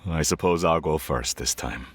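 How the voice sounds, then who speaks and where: An actor playing a gruff middle-aged father in a video game, reticent but tenderly proud, speaking haltingly and sincerely. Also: very deep voice, slight background noise. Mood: earnest, awkward. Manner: halting, sincere, slightly awkward.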